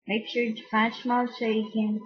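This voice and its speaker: A tutorial host casually narrating software steps in short, halting fragments. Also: medium pitch, flat delivery, husky. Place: voice-over for a screen recording. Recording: dull, muffled lo-fi home recording.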